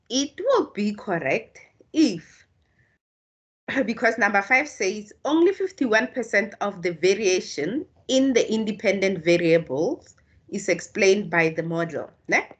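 Slow, husky South African woman tutor. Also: mid-range voice, a bit bright. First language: Tswana. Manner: slow, patient explaining with restarts. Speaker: statistics tutor. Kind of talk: online tutorial. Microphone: video call.

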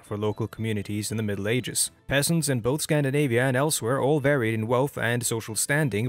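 A man with a Norwegian accent delivering a scripted critique as a video essayist, brisk and matter-of-fact. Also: medium pitch. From Norway. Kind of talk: scripted commentary.

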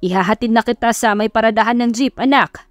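A woman narrator voices a caring Filipino dad in an audio love story. He is gently insistent, and the sound is dry with music behind. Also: fairly high pitch, fast talker, no room sound, close to an everyday voice. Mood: offering. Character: caring, insistent middle-aged father, a jeepney driver.

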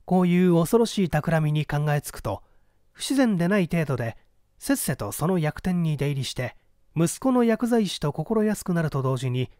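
A man reading literary fiction aloud as a scripted narration, with measured, deliberate phrasing. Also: on the high side, warm tone, no room sound, studio quality. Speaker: audiobook reader, narrator of classic mystery fiction.

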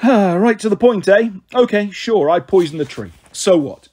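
A man voicing a smug, smooth-talking college-age villain in a storybook, with a taunting manner. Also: sits high, lively intonation, moderate pace, a little mellow, voice pushed up. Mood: mock friendly. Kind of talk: storybook character voice.

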